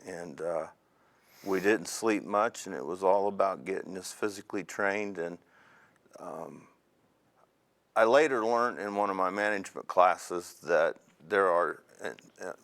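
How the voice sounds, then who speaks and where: A man in his fifties from Indiana with a very low voice recalls his army days. He talks in a measured, reflective way and pauses often. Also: a bit bright. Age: 50s. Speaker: interview guest, Army veteran. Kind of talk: oral history interview.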